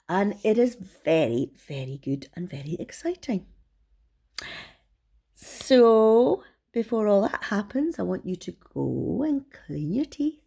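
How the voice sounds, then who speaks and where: Female children's storyteller, mellow tone, lively melodic range, affectionate, expressive delivery. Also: medium pitch. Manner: affectionate, addresses young listeners directly.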